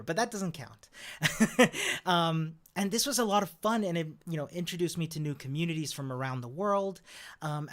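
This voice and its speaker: A man's voice: on the high side, a bit bright. Upbeat Chilean-born man chatting with laughs. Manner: upbeat, chatty, laughs often, many fillers. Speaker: developer advocate. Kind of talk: casual talk to camera.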